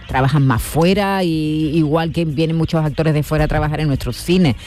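A man's voice: a little high, normal speed, darkish tone, faint music behind. A man chatting casually as an interviewer. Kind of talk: casual film interview chat.

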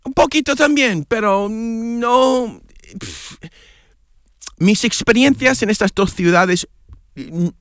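A middle-aged man whose first language is English chats in accented Spanish on a radio interview. His voice is smooth and resonant. He hesitates and restarts often, and the sound is dry and close. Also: high-pitched, animated, slow, pauses often, a bit bright, no echo. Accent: English-speaking foreigner speaking Spanish. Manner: hesitant, searching for words, many restarts. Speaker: guest, columnist.

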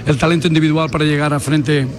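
Halting football coach over crowd noise. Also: male voice, a little high, level tone. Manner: halting, searching for words, measured. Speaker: national team football coach. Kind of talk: post-match interview.

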